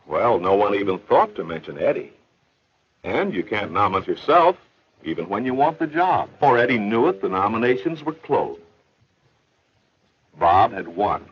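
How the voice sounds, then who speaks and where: A man narrating an educational film in an earnest, explanatory storytelling manner. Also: fairly low pitch. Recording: dull old film soundtrack with faint hiss.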